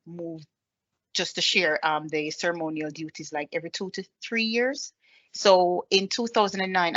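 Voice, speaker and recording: A woman's voice: on the low side, fairly bright tone, dull audio. A woman in her thirties with a Jamaican accent, giving a personal presentation as an army staff sergeant, speaking plainly with many ums. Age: 30s. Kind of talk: presentation with slides.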